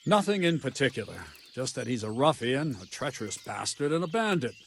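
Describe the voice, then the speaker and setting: A blunt, seasoned captain of the guard from a medieval game. His medium-pitched voice is measured and wry. Light outdoor sound sits behind him. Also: very animated, vocal fry, slight background noise. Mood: wry, grave. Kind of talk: video game voice acting.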